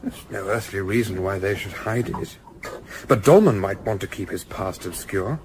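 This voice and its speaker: An actor playing an observant, composed gentleman adventurer in a radio play, speaking in a measured, articulate, understated way. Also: low voice, lively intonation, a little hiss or hum. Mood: wry, conspiratorial. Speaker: lead actor narrating and playing a part. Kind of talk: radio play narration and dialogue.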